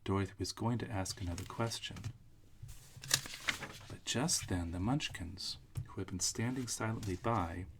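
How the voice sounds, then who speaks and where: A man's voice: deep voice, normal speed, background noise. A man narrating a storybook aloud, reading straight from the text.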